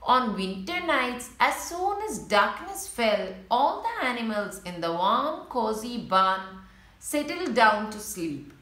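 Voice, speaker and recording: A woman reads a children's storybook aloud in a high, very animated voice. She takes her time, addressing young listeners and showing them the pictures. The room adds a clear echo around her words. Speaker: children's story reader and channel host.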